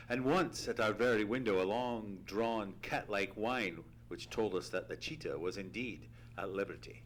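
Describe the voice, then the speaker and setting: A man playing an earnest gentleman sidekick who narrates a mystery with theatrical, deliberate storytelling in an old-time radio play. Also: fairly low pitch, lightly acted. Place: theatre stage. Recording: humming, noisy live theatre recording.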